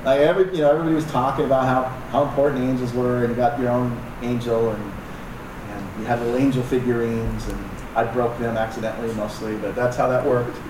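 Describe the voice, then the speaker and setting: Joking pastor in an echoey hall. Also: male voice, mid-range voice, steady tone, fast talker. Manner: conversational and joking, with asides to the congregation. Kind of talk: sermon. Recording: echoey room, distant mic, noisy.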